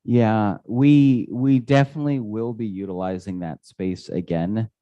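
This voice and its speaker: A man's voice: on the low side, steady tone, measured pace, dark tone, no room sound. A man answering residents' questions at a community meeting, hesitant and full of fillers and restarts. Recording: dull, dry lo-fi audio.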